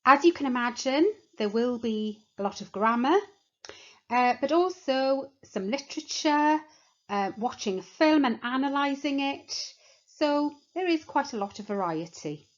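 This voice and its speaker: A woman teacher sets out course details in a measured, explanatory way, slowing down to list points one by one. Her voice sits a little high, and the recording sounds dull and lo-fi. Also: lively intonation. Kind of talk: course information talk.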